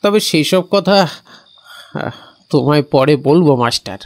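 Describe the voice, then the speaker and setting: A man's voice: a little high, lively intonation, measured pace, pitched above the performer's own voice. A man voicing a plain-spoken, earnest villager who recounts frightening events in an audio story. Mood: earnest, recounting.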